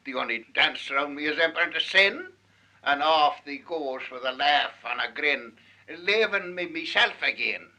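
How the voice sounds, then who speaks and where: High, bright-voiced Manx man reciting verse. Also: animated, normal speed. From Isle of Man. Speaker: Manx language student reciting dialect verse. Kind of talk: dramatic dialect poetry recitation. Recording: 1948 archive field recording.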